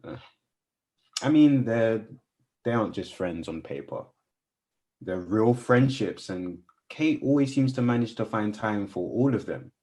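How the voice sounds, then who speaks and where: A man's voice: lowish voice, lively intonation, unhurried, a little mellow. A man playing an earnest, guarded best friend in a radio play, sometimes hesitant. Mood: admiring. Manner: earnest, hesitant, evasive when pressed.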